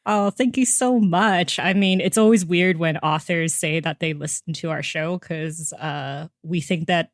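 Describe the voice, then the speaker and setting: A woman with a somewhat low voice hosts a casual interview podcast. She talks conversationally, and the sound is dry with very little room around it. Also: unhurried, few pauses, no room sound. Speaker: podcast host.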